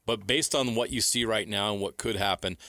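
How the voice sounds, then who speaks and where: Male sports host, very deep but forceful, punchy and casual questioning. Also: brisk, no room sound, clean studio sound. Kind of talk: casual, punchy interview chat.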